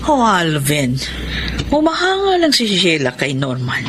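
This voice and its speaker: An actress playing an approving, middle-aged mother who owns a restaurant, in a Filipino radio drama, with a deliberate, drawn-out delivery. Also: on the low side, wildly swooping pitch, background noise. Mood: easygoing, teasing. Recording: loud music behind the voice.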